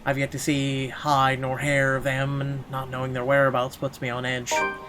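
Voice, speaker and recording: A man's voice: medium pitch, monotone, moderate pace, loud music behind the voice. A man playing a horror game, reading its text aloud theatrically and adding sarcastic asides. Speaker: let's player.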